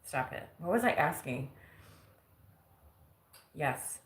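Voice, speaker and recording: A woman's voice: low-pitched, expressive, slow, roomy sound. A woman in her forties chatting casually on a live stream at home, teasing herself and laughing often. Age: 40s. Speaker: vlogger. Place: home kitchen.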